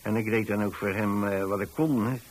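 A man's voice: on the low side, steady tone, brisk, natural voice. An actor playing a mild, rambling old widowed pensioner with a Dutch accent, hesitantly telling his story in a radio play. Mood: fond, reminiscing. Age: 60s or older.

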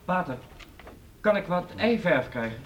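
A man's voice: sits high, expressive, measured pace. A timid young boy. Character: eager humble young boy apprentice.

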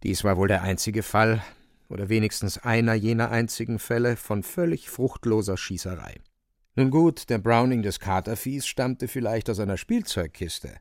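A man's voice: medium pitch, darkish tone, no echo, studio quality, natural voice. A man narrating a literary radio play as a wry, vivid storyteller with dramatic delivery.

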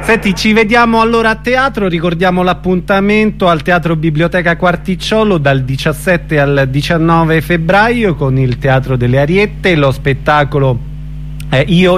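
Man, fairly high voice, radio host, informal interview style, halting phrasing, light hum behind. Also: fairly bright tone. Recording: radio audio with faint hum.

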